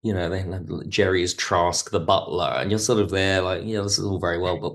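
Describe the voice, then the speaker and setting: A man's voice: low voice, fairly even intonation, fairly quick. A man talking casually as an interview guest, thinking aloud in broken phrases as he explains his creative work. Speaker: podcast guest, audio drama writer.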